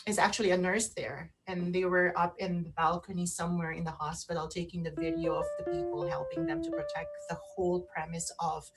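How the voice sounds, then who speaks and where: A woman co-hosting a casual radio chat, speaking haltingly and often repeating and rephrasing herself. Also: on the low side, little pitch movement, over music, low-quality recording. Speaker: radio show co-host. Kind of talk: casual talk show chat.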